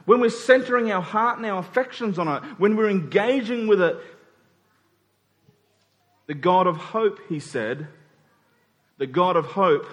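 A man preaching a sermon in an earnest, emphatic way, repeating phrases and pausing deliberately. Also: high-pitched. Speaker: pastor preaching to his congregation. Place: church hall during a service. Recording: reverberant hall sound.

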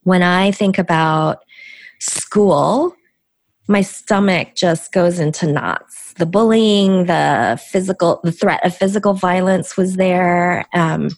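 A middle-aged woman who grew up speaking Korean first tells her story as a podcast guest. She talks slowly, in a low, unhurried voice, and often stops to restart a thought. The sound is dry and close. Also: dry recording. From United States. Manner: thoughtful, halting, with many restarts and fillers. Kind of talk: reflective storytelling interview.

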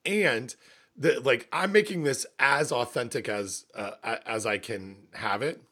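A man with a gravelly, slightly hoarse voice, rambling and cutting himself off in a casual podcast chat. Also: medium pitch, unhurried, bright, forceful tone. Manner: rambling, self-interrupting. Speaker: podcast co-host, university academic.

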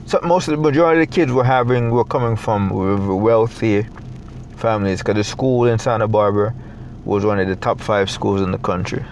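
A young adult man from Jamaica with a Jamaican accent, talking in a reflective, halting way with many fillers as a guest in a casual interview. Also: on the low side, darkish tone, slight background noise.

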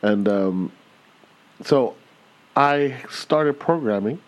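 An American man in his thirties co-hosting a casual podcast, relaxed and full of "you know" and "uh". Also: mid-range voice, very slow. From United States. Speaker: podcast co-host.

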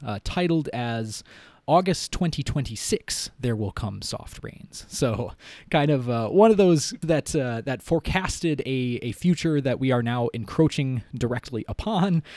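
A man's voice: mid-range voice, expressive, measured pace, darkish tone, no echo. Man hosting and narrating, dry close sound. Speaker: podcast host and story narrator. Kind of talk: podcast introduction and audio drama narration.

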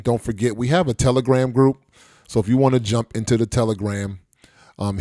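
A middle-aged man hosts a casual podcast, his voice sitting low and sounding dry and close. He rambles easily, full of fillers and restarts. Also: mellow, dry recording. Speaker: podcast host.

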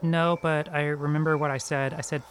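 A man's voice: a little high, level tone, moderate pace, a little hiss or hum. A man chatting casually as a player in a tabletop roleplaying game. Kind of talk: casual chat during a game.

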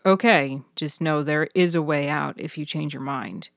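A woman voicing an awkward, dryly witty male friend in an audio story, measured and a little wordy. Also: sits low, creaky, dry recording, clean studio sound. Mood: concerned.

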